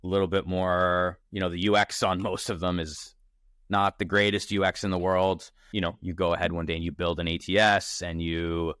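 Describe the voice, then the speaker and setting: A man of thirty speaks in a low voice, casual and energetic. He thinks aloud as a founder on a podcast, restarting often. The sound is dry and close. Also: normal speed, clear, resonant tone, no room sound. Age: 30s. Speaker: startup founder, podcast guest. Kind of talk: casual interview conversation. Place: Toronto.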